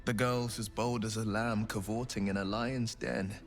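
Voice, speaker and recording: An actor playing an eloquent, brooding gentleman adventurer in a video game, speaking in a florid, earnest, theatrical manner. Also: lowish voice, fairly even intonation, normal speed, soft background music, lightly acted. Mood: concerned, grave. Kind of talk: dramatic video game dialogue.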